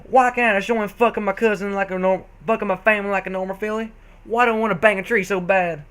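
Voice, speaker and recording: A man's voice: sits high, fairly even intonation, quick pace, fairly bright tone, voice pushed up. A man voicing a flustered, defiant female country pony in a comic fan-fiction reading. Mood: defiant, lovesick.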